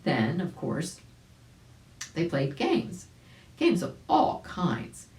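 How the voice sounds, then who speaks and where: An older woman around her seventies reading a children's story aloud, expressively and deliberately, indoors a few feet from the camera. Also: fairly low pitch, wildly swooping pitch, darkish tone. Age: older, about 70s. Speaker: volunteer storybook reader. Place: small indoor room at home. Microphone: camera a few feet away. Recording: roomy home recording.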